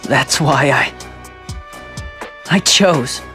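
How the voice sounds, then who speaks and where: A woman's voice: extremely low pitch, very slow, fairly bright tone, heavily acted. A woman voicing an earnest, protective, boyish teenage big brother with some hesitancy in a video game. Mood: troubled resolve. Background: faint music.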